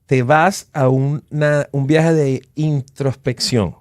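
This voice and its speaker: Male podcast host, forties, effusive, conversational, medium pitch, very little room sound. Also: fairly even intonation, takes it slowly, dry recording, studio quality. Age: 40s. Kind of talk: casual conversational interview.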